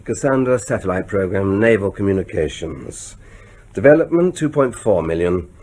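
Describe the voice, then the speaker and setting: A newly elected British prime minister with a husky, fairly low voice. He is wry and combative, talking with brisk authority and very mobile intonation. It is radio drama acting. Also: lively intonation, darkish tone. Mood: musing, businesslike.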